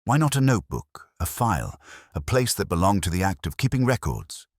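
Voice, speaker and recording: A man narrating a scripted first-person detective story in a measured, reflective, literary manner. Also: sits low, big pitch swings, breathy edge, dry recording, studio quality.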